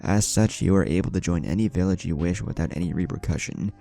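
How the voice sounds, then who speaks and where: A man's voice: low-pitched, fast talker, vocal fry, mellow, soft background music. A man voicing a loyal, protective and hot-tempered young male ninja in a story, casual and emphatic. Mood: confident explaining.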